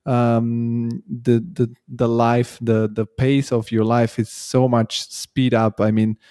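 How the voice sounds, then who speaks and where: A young man speaks in an even, mellow voice with little rise and fall. He chats easily as the host, and the sound is dry and close. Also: mid-range voice, takes it slowly, no echo. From Bulgaria, raised in Italy. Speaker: podcast host. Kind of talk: podcast interview.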